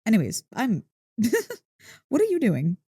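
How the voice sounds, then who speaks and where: A woman voicing an excitable, affectionate dog-girl girlfriend in an intimate ASMR roleplay, playful and easily flustered. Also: fairly high pitch, slow pace, breathy edge, dry recording, studio quality, full character voice. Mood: excited, curious. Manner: playful, expressive, mock-indignant teasing. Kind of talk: ASMR roleplay, intimate one-sided dialogue. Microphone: close, dry microphone.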